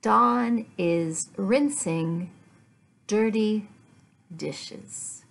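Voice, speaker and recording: A middle-aged woman teaching English to learners, speaking deliberately in short, carefully enunciated phrases with pauses between them. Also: medium pitch, creaky. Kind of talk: slow language lesson for learners. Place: small living room at home, indoors.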